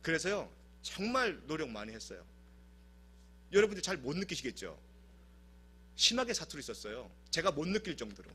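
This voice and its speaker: Animated, high husky male pastor. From South Korea. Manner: animated, humorous storytelling. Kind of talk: sermon. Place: church service.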